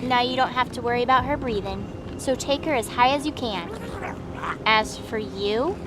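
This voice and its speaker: A woman's voice: fairly high pitch, moderate pace, noisy background, close to an everyday voice. A woman playing a short-tempered feathered goddess in an audio drama, cold, mocking and curt, giving orders with scorn. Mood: curt, commanding.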